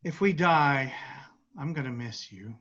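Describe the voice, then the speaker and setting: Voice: medium pitch, animated, a little slow, fairly bright tone. An actor playing a cautious, dutiful, anxious big brother in a staged fantasy play reading. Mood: resigned, earnest. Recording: echoey room, dull lo-fi sound.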